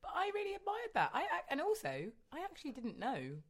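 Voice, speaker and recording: A woman's voice: high voice, huge pitch swings, fairly quick. A woman co-hosting a podcast, chatting playfully with wordy, jokey turns of phrase. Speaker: podcast co-host. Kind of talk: casual chat.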